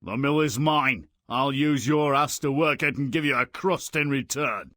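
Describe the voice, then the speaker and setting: An actor playing a greedy, callous peasant eldest brother in a fairy-tale video game. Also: male voice, mid-range voice, moderate pace, pushed and bright, no echo, clean studio sound, close to an everyday voice.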